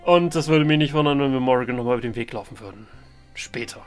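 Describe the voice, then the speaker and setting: A man's voice: mid-range voice, fast talker. A man giving casual let's play commentary, hesitant and rambling as he thinks aloud. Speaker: let's player, role-play gaming channel host. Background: game music.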